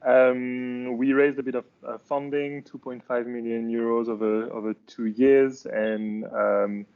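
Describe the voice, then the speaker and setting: Male company founder on a panel, mid pitch, hesitant with lots of fillers, lo-fi call sound. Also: level tone, a little slow, smooth. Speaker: startup co-founder and CEO, panelist. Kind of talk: self-introduction on a panel.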